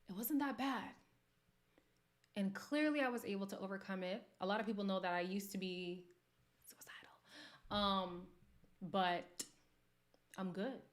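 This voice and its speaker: A woman from Montreal hosting a casual livestream, talking candidly and laughing often as she answers her viewers' comments. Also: mid-range voice. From Montreal, Canada. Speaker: livestream host.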